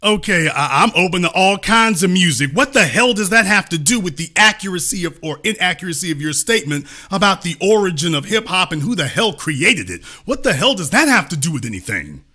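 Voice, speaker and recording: A man's voice: sits high, very animated, fairly quick, hardly any pauses, sharp tone. A man hosting a commentary show, talking back at a clip in an emphatic, lecturing way and repeating his points for stress. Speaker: commentator host. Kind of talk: reacting to an interview clip.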